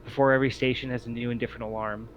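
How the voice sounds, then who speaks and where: A man's voice: medium pitch, flat delivery, racing. A man narrating a tabletop roleplaying game as its game master. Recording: muffled lo-fi mic with clear noise.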